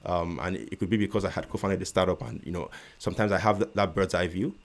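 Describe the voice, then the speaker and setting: A man from Nigeria with a Nigerian accent and a very deep voice, talking as an interview guest in a measured way, with restarts and a slight stutter. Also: creaky. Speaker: guest, real estate investor. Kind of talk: casual interview conversation.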